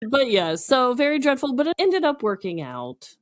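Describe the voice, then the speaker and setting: A woman co-hosting a podcast, telling casual comic stories in a chatty, animated and expressive way. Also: high-pitched, moderate pace. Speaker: podcast co-host. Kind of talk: casual comedic storytelling.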